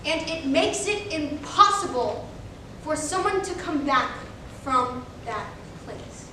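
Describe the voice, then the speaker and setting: A woman's voice: sits high, lively intonation, takes it slowly, bright, forceful tone, exaggerated voice. A woman giving a rehearsed reader's theater performance, speaking in a theatrical, scripted way. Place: large echoey room, distant microphone. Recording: echoey hall from far off, hissy.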